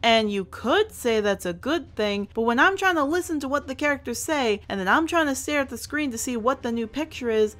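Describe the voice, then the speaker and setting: A woman's voice: on the high side, fairly bright tone. A woman hosting a scripted review, speaking emphatically and stretching out key words. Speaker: reviewer, show host. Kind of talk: scripted review commentary over animation. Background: music.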